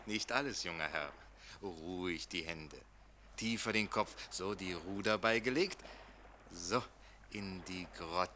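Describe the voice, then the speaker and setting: A man's voice: low voice, slow pace, pushed and bright, a little hiss or hum, heavily acted. A man in a German radio play acting a worldly boatman and grotto guide, coaxing, servile yet commanding. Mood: guiding, boastful.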